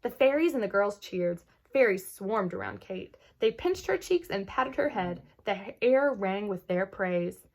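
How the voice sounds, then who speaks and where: A woman reading a children's story aloud expressively, with dramatic emphasis and hushed moments. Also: mid-range voice, animated, a little slow, few pauses. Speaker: children's book read-aloud host.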